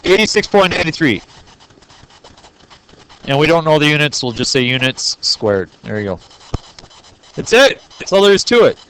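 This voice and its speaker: A man's voice: fairly high pitch, sing-song, normal speed, pauses often, a bit bright, clean tone, low-quality recording. A man teaching a math lesson to his class, joking and playful, talking straight to the students. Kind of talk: classroom lesson recorded on video.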